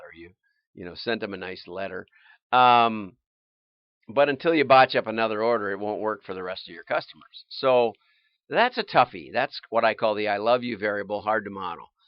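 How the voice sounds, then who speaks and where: A man hosting a livestream, explaining things informally in a halting, think-aloud way with restarts and asides. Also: on the low side, dry recording, muffled recording. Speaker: livestream host and marketing consultant.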